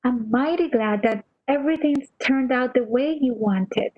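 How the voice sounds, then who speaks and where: A woman's voice: sits high, a little slow, lo-fi sound. A woman playing a kindly, loyal, deferential maid with a respectful manner in an amateur radio drama. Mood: attentive concern.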